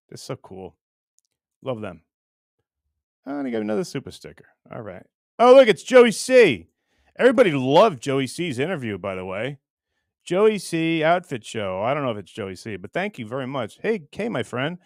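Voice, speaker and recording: Higher-voiced middle-aged man rambling on a livestream. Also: dry recording, studio quality. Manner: crude, blunt, jokey. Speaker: livestream show host. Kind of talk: casual, rambling livestream chat.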